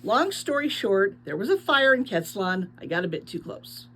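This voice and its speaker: A woman's voice: mid-range voice, big pitch swings, normal speed, a bit bright, poor audio. A woman reading aloud as a short, grumbling, awkward teenage boy demigod, sometimes hesitant. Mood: sheepish.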